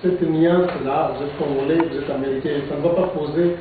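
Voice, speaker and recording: A man's voice: on the high side, fairly even intonation, fairly quick. A man working as a journalist who asks questions hesitantly, with many fillers. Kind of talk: interviewing. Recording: echoey, distant, phone-like with noise.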